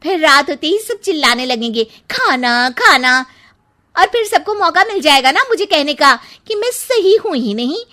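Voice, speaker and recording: A woman's voice: high-pitched, sing-song, moderate pace, bright, forceful tone, full character voice. A woman playing a sarcastic, aggrieved young daughter-in-law in a cartoon story, with a complaining manner. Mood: fed up, angry.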